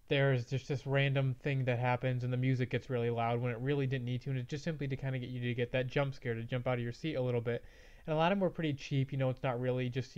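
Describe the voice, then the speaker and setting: A man's voice: mid-range voice, darkish tone, clean tone. A man hosting a casual movie review, his voice slightly off from a mild cold. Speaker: movie review show host. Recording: slightly dull, band-limited audio.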